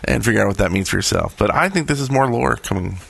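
A man's voice: on the low side, expressive, fast, gravelly, fairly bright tone, breathy edge. A man hosting a podcast in casual chat, rambling along in a jokey way. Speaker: podcast host.

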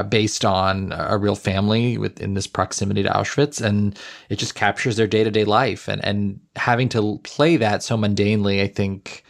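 A man's voice: on the low side, vocal fry. A man interviewing an actor, chatty and restarting his sentences as he goes. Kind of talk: interview and podcast chat.

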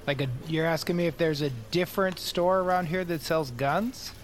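An actor playing a hapless, easygoing store greeter in a comedy audio drama, talking in a casual, hesitant, rambling way. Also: male voice, fairly high pitch, normal speed, close to an everyday voice. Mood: friendly, puzzled. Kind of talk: comedic audio fiction acting.

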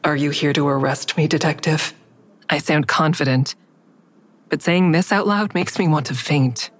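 Low-voiced, guarded woman narrator. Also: moderate pace. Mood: quiet dread. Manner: restrained, guarded, introspective. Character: anxious, guarded woman narrating her own interrogation. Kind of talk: first-person audio drama narration and dialogue.

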